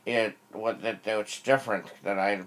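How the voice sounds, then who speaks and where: An American man in a private phone conversation, speaking in a halting, deferential way. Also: on the low side, fairly even intonation. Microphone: telephone.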